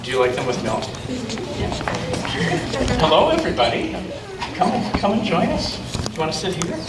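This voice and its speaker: A man reading a picture book aloud to children and chatting gently with them in a church. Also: a little high, wildly swooping pitch, normal speed. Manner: gentle question-and-answer talk with children. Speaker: storytime reader at a church children's gathering. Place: large echoey church room with children. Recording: echoey noisy room, distant mic.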